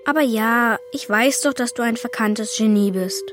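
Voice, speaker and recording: Woman as an earnest, high-voiced eleven-year-old boy. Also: moderate pace, over music, close to an everyday voice. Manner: earnest, simple childlike delivery. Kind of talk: children's radio play acting.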